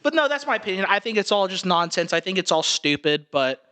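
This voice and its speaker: A man's voice: sits high, big pitch swings, fast, pushed and bright, clear, resonant tone. A man co-hosting a comedy podcast, with a nasal voice, rambling in casual chat with lots of restarts. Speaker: podcast co-host.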